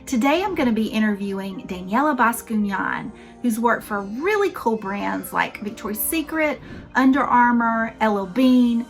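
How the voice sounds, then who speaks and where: A woman's voice: a little high, expressive, normal speed, soft background music. A woman hosting an interview show, introducing her guest with enthusiasm and asking questions. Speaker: interview host. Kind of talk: upbeat show host.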